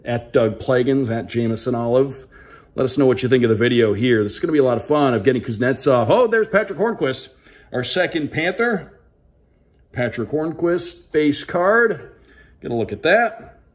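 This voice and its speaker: An American man hosting a casual unboxing as a sports broadcaster, announcing names crisply like a play-by-play caller. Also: mid-range voice, lively intonation, moderate pace. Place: indoors at a tabletop, small room.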